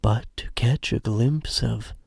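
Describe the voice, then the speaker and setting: A man narrating a scripted comedic audio drama in a measured, wry way. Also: mid-range voice, gravelly, darkish tone, slightly rough, dry recording, studio quality.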